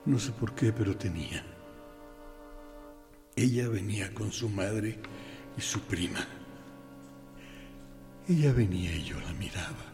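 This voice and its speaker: An actor with a Uruguayan accent playing an earnest, intense boy, telling his story deliberately and emphatically in a radio play. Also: on the low side, expressive, a little mellow. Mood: earnest, hurt. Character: earnest, intense boy recounting small heartbreaks and grudges. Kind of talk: dramatic monologue in a radio play. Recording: long reverb, music bed underneath.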